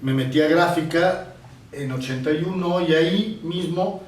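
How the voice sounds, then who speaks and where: A man in his sixties, a painter born in Italy, recalling his past in an interview and often pausing to find his words. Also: on the high side. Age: 60s. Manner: reflective, pausing to search for words. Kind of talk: reminiscing in an interview. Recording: roomy, distant mic with faint ambience.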